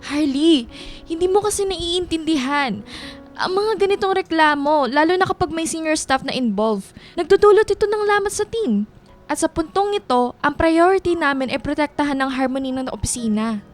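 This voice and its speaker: Higher-voiced, stern female manager. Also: expressive. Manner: formal, measured, firm. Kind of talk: radio drama acting. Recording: music behind the voice.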